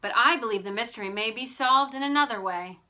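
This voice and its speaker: A woman's voice: on the high side, brisk, sharp tone. A woman voicing a keen, kindly teenage girl detective in an audiobook, eager and determined. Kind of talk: audiobook character voice.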